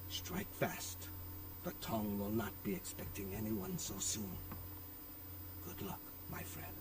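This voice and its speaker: A man's voice: lowish voice, very animated, slow pace. An actor playing a middle-aged Chinese restaurant owner in a video game, a worried father who speaks formally and courteously. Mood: resolute. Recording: distant game audio with background noise.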